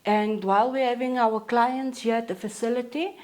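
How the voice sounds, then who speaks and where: A South African woman in her forties, a counselor talking as a podcast guest in a steady, matter-of-fact way with frequent pauses. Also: fairly high pitch. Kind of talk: casual interview talk.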